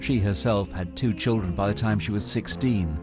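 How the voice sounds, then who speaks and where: A man's voice: lowish voice, moderate pace. A British man narrating a scripted television news report as its reporter. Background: music.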